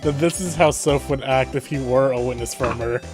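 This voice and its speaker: A man's voice: medium pitch, a little fast. Male voice, casual streamer chat, hosting a game session, music behind. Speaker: stream host.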